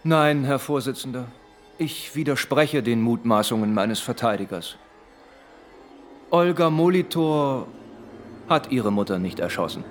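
A man's voice: medium pitch, expressive, a little slow, long pauses, faint music behind, natural voice. An actor playing a proud, agitated male defendant protesting formally and theatrically in a radio courtroom drama. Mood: firm protest. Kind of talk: German radio play acting.

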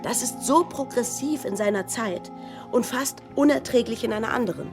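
A woman's voice: a little high, normal speed, close to an everyday voice. An actress playing a skeptical woman commentator who makes ironic, sardonic remarks. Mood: wry. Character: wry woman commentator, skeptical and sharp-tongued. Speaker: commentator in the drama. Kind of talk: scripted radio drama commentary. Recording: clear music underneath.

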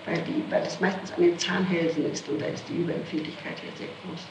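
A woman's voice: fairly low pitch, steady tone, fast talker. Woman, questioned suspect, defensive, emphatic answers, echoey room, noisy archival tape. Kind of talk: answering a formal interrogation.

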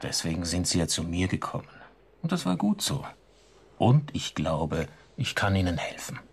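An actor playing a composed male psychiatrist in a radio drama, measured, probing and soothing in a clinical way. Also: deep voice, very animated, vocal fry, husky, exaggerated voice. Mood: reassuring.

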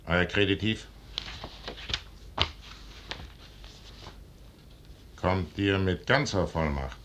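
An actor playing a proud, brooding middle-aged general in a 1950s radio play, declaiming in a weighty, solemn stage manner. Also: male voice. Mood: guarded, probing. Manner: declamatory, weighty, solemn delivery with measured pauses. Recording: noisy 1950s radio recording with rumble.

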